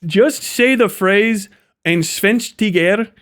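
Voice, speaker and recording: A man's voice: high-pitched, slow pace, fairly bright tone, clear, resonant tone. A man giving off-the-cuff livestream commentary in a blunt, profane, sardonic way. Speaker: live streamer.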